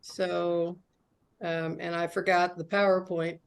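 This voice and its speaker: A woman's voice: on the low side, little pitch movement, slow pace, vocal fry, clean tone. Chatty woman at a club meeting. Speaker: club member. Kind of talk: casual meeting chat. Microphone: video call.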